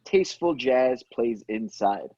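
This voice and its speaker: A man's voice: mid-range voice, expressive, unhurried, a little mellow. A man reading screenplay stage directions aloud as the narrator of an amateur table read. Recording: dull, roomy home audio.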